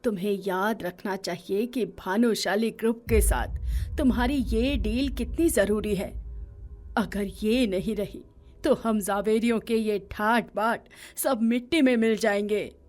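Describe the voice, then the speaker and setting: A woman's voice: medium pitch, measured pace, a little mellow, soft background music, lightly acted. A woman playing an authoritative elderly matriarch of a rich business family in an audio drama, firm and commanding. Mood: admonishing. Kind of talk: Hindi audio drama acting.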